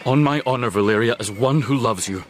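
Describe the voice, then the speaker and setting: An actor playing a bitter, secretive Victorian husband with a husky voice and British accent, formal and intense in a radio drama. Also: male voice, mid-range voice, fairly quick, close to an everyday voice. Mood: urgent, warning. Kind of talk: period radio drama acting.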